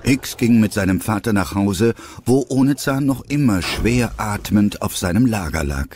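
A male narrator reads a scripted story for an audio drama. His voice is fairly deep and very expressive, with soft music underneath. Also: moderate pace.

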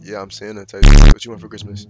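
A man's voice: lowish voice, rapid-fire, creaky. A man of twenty casually flirting and joking in slang as he chats with strangers online from a room. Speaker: streamer chatting with strangers online. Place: small room, indoors. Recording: loud music behind voice.

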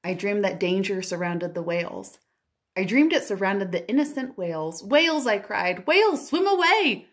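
Woman, thirties, mid-range pitch, children's storytime reader, expressive, full of wonder, drawn-out emphasis. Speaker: children's librarian hosting storytime. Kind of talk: picture-book read-aloud with asides to young children. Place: living room at home, indoors.

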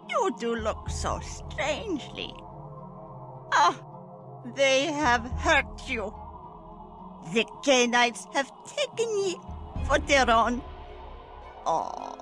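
A woman's voice: high-pitched, fairly bright tone, heavily acted. An actress playing a strange, doting old woman shopkeeper in a video game, crooning in theatrical archaic phrases.

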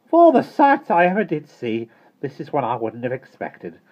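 A man voicing a stout, excitable, prim storybook housekeeper woman in a dramatic, exclaiming manner. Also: on the high side, moderate pace, muffled recording, pitched above the performer's own voice. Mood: disgusted, indignant.

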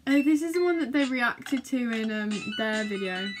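A woman's voice: fairly high pitch, animated, moderate pace. A young woman chatting casually in a gushing, giggly, exclamatory way, as a vlogger reacting to videos. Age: young adult. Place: small bedroom, indoors. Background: music from videos being watched.

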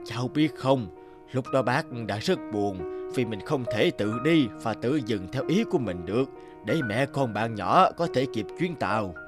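A man's voice: mid-range voice, expressive, moderate pace, over music, natural voice. A man playing a kindly old talking train who reminisces in a children's cartoon. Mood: wistful, tender.

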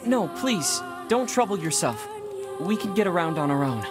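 A man's voice: high voice, sing-song, normal speed, over music. A man playing a kind, courteous young hero in a video game, with a direct way of talking.

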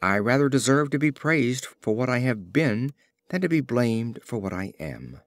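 A man's voice: medium pitch, sing-song, moderate pace, no echo, studio quality. A man voicing a proud, aggrieved old hunting hound in a storybook fable, pleading with earnest dignity.

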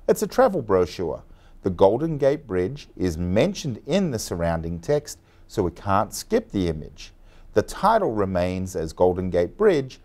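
A man in his thirties narrates a scripted software lesson from a plain studio. His voice sits low and mellow, and his intonation moves up and down a lot while he stays steady and instructional. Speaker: software trainer.

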